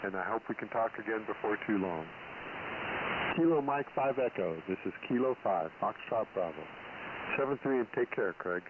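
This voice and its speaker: A man's voice: fairly low pitch, animated, moderate pace. An older man heard over shortwave radio, making calls and chatting as an amateur operator. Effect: received over radio. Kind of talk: ham radio calling and casual exchange. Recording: noisy narrow-band shortwave radio with hiss.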